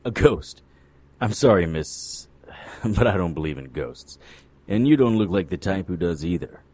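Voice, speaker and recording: An actor playing a cynical, world-weary private detective in a noir radio drama, wry and sardonic. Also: male voice, low-pitched, animated, a little slow, darkish tone, slightly rough, no echo, heavily acted. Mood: sardonic, impatient. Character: cynical male hard-boiled occult private detective.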